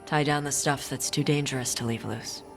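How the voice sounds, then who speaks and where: A man's voice: mid-range voice, steady tone, normal speed, vocal fry, close to an everyday voice. A man voicing a stoic, dutiful warrior in a video game, terse and reflective in plain words. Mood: calm, reflective. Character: stoic, dutiful male dragon-hunting warrior. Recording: long reverb, faint music behind.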